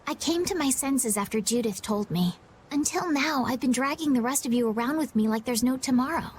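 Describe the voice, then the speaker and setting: Game heroine: gentle young noblewoman, female actor, high voice, sincere, some noise behind. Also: a little fast, natural voice. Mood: self-reproachful. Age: young adult. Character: earnest, gentle young noblewoman, impulsive and self-critical. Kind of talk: video game voice acting.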